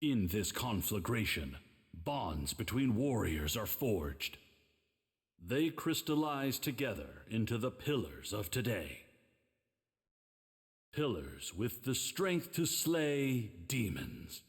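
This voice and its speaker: A man's voice: fairly low pitch, sing-song, slow pace, exaggerated voice. A man playing a solemn, grand storyteller, giving theatrical scripted narration for an anime video game. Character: solemn, grand male storyteller of warrior legend. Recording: long reverberant hall effect.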